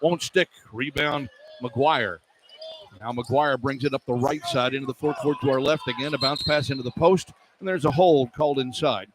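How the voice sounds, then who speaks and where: A man's voice: mid-range voice, moderate pace. A man doing live radio play-by-play of basketball, describing the action in clipped running phrases. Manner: clipped running description, excited on key plays. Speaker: play-by-play sports announcer. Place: courtside in an indoor arena. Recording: clear arena noise behind voice. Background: arena ambience.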